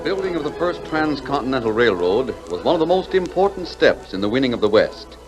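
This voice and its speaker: A man's voice: medium pitch, big pitch swings, a little fast, poor audio. A man narrating a 1940s radio western as announcer, in a dramatic, declamatory way. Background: music.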